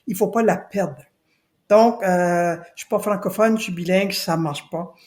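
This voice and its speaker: A woman's voice: on the low side, normal speed, darkish tone. A woman from New Brunswick, speaking earnestly as a podcast guest and repeating her key points for emphasis. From Acadia, New Brunswick. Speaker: guest, citizen committee spokesperson. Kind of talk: podcast interview.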